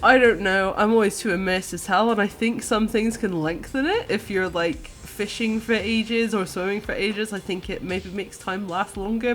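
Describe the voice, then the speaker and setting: A woman giving an enthusiastic, earnest personal video game review, partly scripted and partly conversational. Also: medium pitch. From countryside. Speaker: podcast guest reviewer. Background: music.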